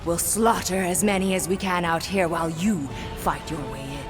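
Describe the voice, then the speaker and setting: A woman's voice: lowish voice, normal speed, music in the background, natural voice. A woman playing a fierce, haughty alien warrior queen in a video game, commanding and theatrical. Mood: battle-hungry. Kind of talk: video game cutscene acting.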